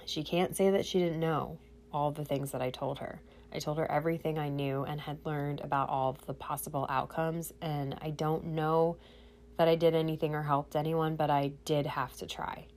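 A woman's voice: low voice, steady tone, moderate pace, darkish tone. A woman hosting a podcast, congested from a cold, talking earnestly and directly to her listeners in a personal monologue. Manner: earnest, speaking straight to listeners. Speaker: podcast host.

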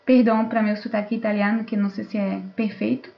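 A woman hosting a panel, introducing it in a semi-formal, somewhat halting way over an online call. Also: medium pitch, flat delivery, gravelly. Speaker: host and moderator. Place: remote video call. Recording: dull lo-fi online call audio.

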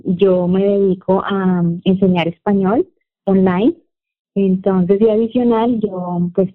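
A Colombian woman, a Spanish teacher, chatting relaxedly as an interview guest, pausing often on "eh". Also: mid-range voice. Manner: relaxed, with frequent "eh" pauses. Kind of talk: casual interview chat. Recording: tinny phone-like line.

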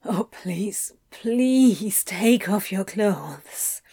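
A woman's voice: medium pitch, animated, extremely slow, mellow, slightly rough, heavily acted. Breathless whispering male demon a woman voices. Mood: breathless, pleading. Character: needy, yearning male demon, adult, breathless.

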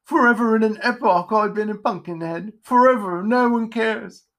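Storybook pumpkinhead: high, wailing, nervous wreck, melodramatic and self-pitying. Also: expressive, slow, fairly bright tone, full character voice. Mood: self-pitying moaning. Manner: wailing, moaning, melodramatic outbursts. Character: trembling, whiny, self-pitying pumpkinhead creature prone to fits.

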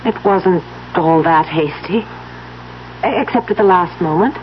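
Actress as heartfelt, defensive young heiress. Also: female voice, on the low side, unhurried, lightly acted. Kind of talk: 1970s radio drama acting. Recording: dull, hissy 1970s radio recording.

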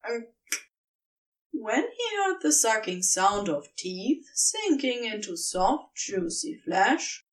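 A woman reading a story aloud as an expressive scripted narration, recorded a little away from the mic. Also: on the high side, recorded from a distance, rough recording. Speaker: podfic narrator.

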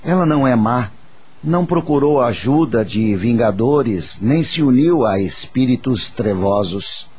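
A man playing a wise, patient spirit teacher, speaking in a measured, reassuring and didactic way in a radio drama. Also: mid-range voice, lively intonation, a little mellow, husky. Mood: reassuring, instructive. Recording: faint music under the voice.

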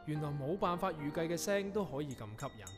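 A man's voice: fairly high pitch, fast talker, over music, lightly acted. A man acting in a Cantonese radio drama as a young, reflective composer, with a Hong Kong accent and an earnest, literary delivery. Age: young adult. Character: young male avant-garde composer, reflective and restlessly inspired.

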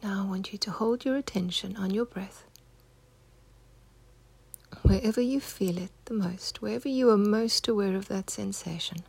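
A woman guides a meditation in a hushed, husky voice with a noticeable creak. She speaks gently and without hurry, soothing as she instructs. The sound is close and dry, with no room around it. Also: mid-range voice, normal speed, pauses often, no echo. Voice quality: soft, breathy, husky, near-whispered.

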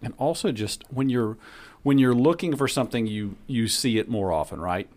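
A man's voice: mid-range voice, fairly quick, a little mellow. A man hosting a podcast interview, asking questions in a friendly, curious way with frequent restarts. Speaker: podcast host interviewing guests. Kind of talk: casual interview.

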